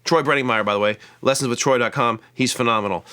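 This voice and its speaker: A man's voice: medium pitch, quick pace, bright, forceful tone, clear, resonant tone. A man giving a casual lap steel guitar lesson. He chats as he plays and counts out the scale degrees of each lick. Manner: chatty, explains while playing, counts out scale degrees. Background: lap steel guitar playing.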